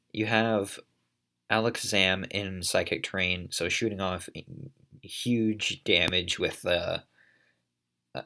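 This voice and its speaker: A man's voice: low-pitched, a bit bright. A man commentating a competitive online battle in a casual play-by-play, congested from allergies, coughing and thinking aloud as he goes. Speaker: league commentator.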